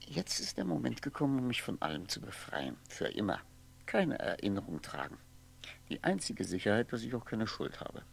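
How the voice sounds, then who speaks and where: An actor in a 1960s German radio play, playing a lonely, evasive, brooding man with a hesitant, halting delivery. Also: lowish voice, huge pitch swings, creaky, slight background noise, exaggerated voice. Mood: bitterly brooding. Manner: hesitant, halting, self-questioning.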